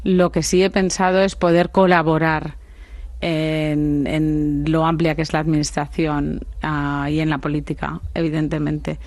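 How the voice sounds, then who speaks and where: A woman from Spain, a business leader as the guest in a radio studio, answering in a reflective, unhurried way. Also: low-pitched, little pitch movement, a little mellow, no echo. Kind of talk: radio interview conversation.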